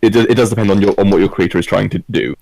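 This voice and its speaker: A man with a fairly low voice takes part in a casual group discussion. The recording is dry, and he speaks informally as a podcast panellist. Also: rapid-fire, creaky, a little mellow, no room sound.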